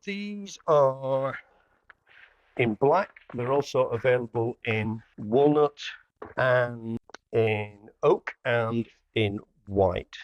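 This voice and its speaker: A man's voice: medium pitch, lively intonation, drawn-out words, no echo, lo-fi sound, soft background music. A man giving a casual product demonstration as a hi-fi dealer, plainly explaining each step as he works. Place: indoor hi-fi showroom.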